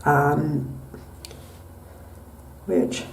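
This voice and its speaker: A young woman giving a tarot reading in a soft, unhurried way with many pauses and ums, her voice nasal from a cold. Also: low-pitched, steady tone, vocal fry, recorded from a distance, a little hiss or hum. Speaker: tarot reader. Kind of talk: pick-a-card tarot reading. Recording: echoey room, mic set back.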